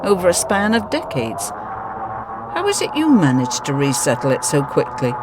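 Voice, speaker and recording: An actress playing an inquisitive, precise starship science officer in a sci-fi audio drama, matter-of-fact. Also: female voice, a bass voice, very animated, normal speed, over music. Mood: curious. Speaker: voice actor. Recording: clear background ambience noise.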